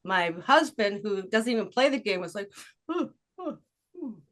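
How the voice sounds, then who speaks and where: A woman's voice: mid-range voice, animated, a little slow, fairly bright tone. A woman hosting a casual interview, playful and gushing, often jumping in on her guest. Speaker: show host, interviewer.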